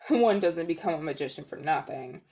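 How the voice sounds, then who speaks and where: Storybook uncle: elderly, pompous amateur magician, very animated delivery female reader playing male. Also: low voice, normal speed. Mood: self-satisfied. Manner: pompous, self-important, easily affronted. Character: vain, pompous, grey-haired old uncle, an amateur magician.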